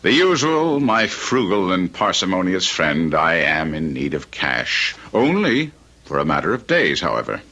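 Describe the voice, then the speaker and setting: An actor playing a reckless smooth talker desperate for money, florid and theatrical, in a radio drama. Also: male voice, low voice, sing-song, measured pace, pushed and bright, muffled recording, full character voice. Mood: wheedling, florid. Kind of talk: old-time radio drama acting.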